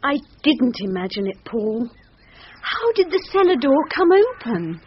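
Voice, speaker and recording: An actress playing a nervous wife in a thriller radio drama, anxious, pleading and insistent. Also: female voice, high voice, big pitch swings, unhurried. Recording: dull lo-fi radio recording, faint noise.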